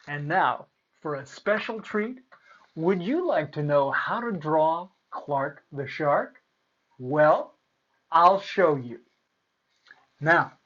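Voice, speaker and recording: A man's voice: a little high, a bit bright, dull audio. A man presenting and reading aloud for children as a storyteller, playful and theatrical.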